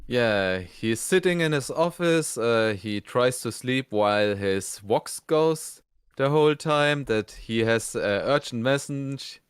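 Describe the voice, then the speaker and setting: A man co-hosting a casual review, retelling scenes in a hesitant, halting way. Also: medium pitch, lively intonation, clear, resonant tone, dry recording, studio quality. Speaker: reviewer, co-host.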